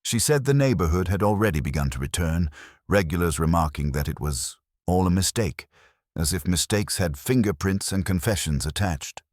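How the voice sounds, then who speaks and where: A man narrating a first-person detective story in a measured, wry way. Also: low-pitched, lively intonation, a little mellow, no echo, studio quality. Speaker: audiobook narrator.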